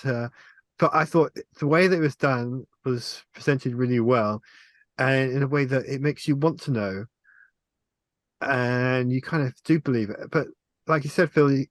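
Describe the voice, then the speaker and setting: A man's voice: medium pitch, moderate pace, dry recording. A man chatting casually as a panelist in a film review on a video call.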